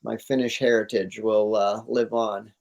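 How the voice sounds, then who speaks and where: A man's voice: fairly low pitch, level tone, unhurried, vocal fry, a little mellow. A man talking casually and enthusiastically as an interview guest, in laid-back, slangy language. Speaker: interview guest, cannabis grower.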